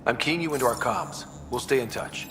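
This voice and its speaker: An actor playing a determined young human space explorer in a video game, brisk and earnest. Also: male voice, mid-range voice, in a large hall, background noise, natural voice. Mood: brisk, focused. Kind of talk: naturalistic video game acting.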